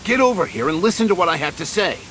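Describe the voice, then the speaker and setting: A man's voice: sits high, expressive, quick pace, a bit bright, slight background noise, low-quality recording. A man playing a stern, long-suffering military commander in a video game voice drama, formal and exasperated in manner.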